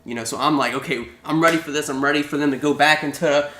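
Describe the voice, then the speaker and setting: A man's voice: on the high side, steady tone, fast, bright, forceful tone, a little hiss or hum. A man co-hosting a casual chat about video game movies, rambling with many restarts. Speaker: podcast co-host. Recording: echoey room.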